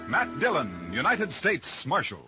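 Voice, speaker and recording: A man's voice: fairly high pitch, wildly swooping pitch, unhurried. Male announcer, dramatic 1950s radio delivery, declamatory, swooping intonation, dull archival sound. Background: loud dramatic theme music.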